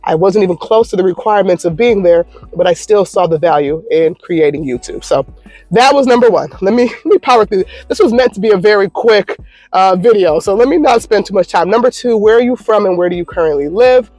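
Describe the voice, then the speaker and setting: An upbeat woman in her thirties talks casually and warmly to her viewers, chatty and encouraging. She records from a parked car into a phone, and the sound is close and dry. Soft music plays faintly underneath. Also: mid-range voice, animated, a little fast, no echo. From Michigan, United States. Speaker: YouTuber. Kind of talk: casual Q&A vlog. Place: parked car in a parking lot.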